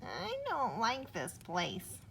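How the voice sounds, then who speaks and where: A woman voicing a timid, excitable young male monster who stammers when nervous in a storybook reading. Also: fairly high pitch, slow pace, vocal fry, a little hiss or hum, full character voice.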